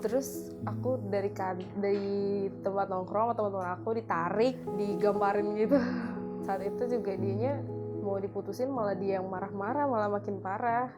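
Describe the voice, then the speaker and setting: A woman's voice: mid-range voice, fairly even intonation, moderate pace. A woman in her early twenties telling a personal story in casual, slangy speech during an interview. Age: early 20s. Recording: music playing behind. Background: background music.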